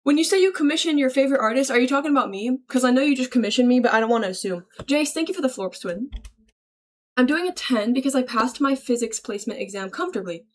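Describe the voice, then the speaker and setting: A woman's voice: sits high, expressive, fast talker. A woman livestreamer casually reading and answering viewer messages aloud in a slangy, chummy, thankful way. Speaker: livestreamer greeting chat.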